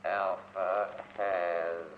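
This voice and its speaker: An actor playing an obedient robot servant, halting and toneless, in a 1940s radio drama. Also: male voice, deep voice, level tone. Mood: flat, obedient. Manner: halting, toneless, speaks of itself in third person. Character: hulking obedient robot servant built of aluminum. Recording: muffled noisy old radio recording with clicks.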